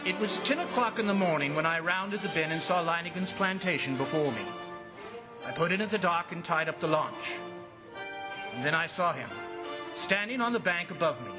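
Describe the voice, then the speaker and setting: An actor playing an anxious, fascinated commissioner who narrates a jungle ordeal in old-time radio drama style, dramatic and halting. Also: male voice, a little high, close to an everyday voice. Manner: dramatic, hesitant and halting under worry.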